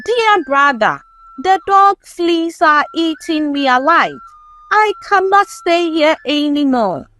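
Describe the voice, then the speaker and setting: A woman's voice: high-pitched, lively intonation, takes it slowly, sharp tone, faint music behind, voice pushed up, exaggerated voice. A woman voicing a sly male trickster spider in a folktale, pleading and persuasive. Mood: complaining.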